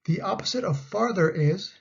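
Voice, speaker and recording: Husky Canadian man teaching English. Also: sits high, expressive, lo-fi sound. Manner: slow, carefully enunciated example sentences. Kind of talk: scripted grammar lesson.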